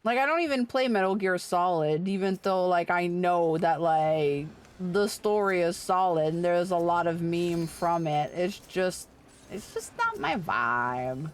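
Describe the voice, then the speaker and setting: A woman's voice: fairly low pitch, animated, a little slow. A woman livestreamer chatting casually with viewers while she plays an online game, playful, informal and sweary. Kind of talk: casual chat with viewers during gameplay.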